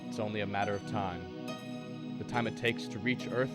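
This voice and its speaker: A man's voice: on the low side, steady tone, fairly quick, over loud music, lightly acted. An actor playing an earnest American math teacher and family man who tells his story in a 1950s radio drama style. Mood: grave, reflective. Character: earnest abducted math teacher, husband and father, narrating.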